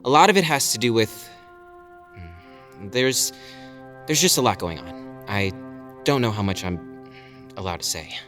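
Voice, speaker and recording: A man's voice: on the low side, lively intonation, unhurried, long pauses, over music. A man playing an eager, boyish alien fighter in an audio drama, casual, chatty and playfully cocky. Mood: casual, hesitant. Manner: casual, hesitant then enthusiastic.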